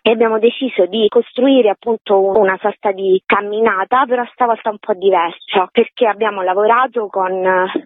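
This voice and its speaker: A woman's voice: mid-range voice, dry recording. A woman is heard through a thin, dry telephone connection. She talks as an interview guest, explaining informally and articulately, with one long sentence flowing into the next. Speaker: activist interview guest, event organiser. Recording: narrow tinny phone line.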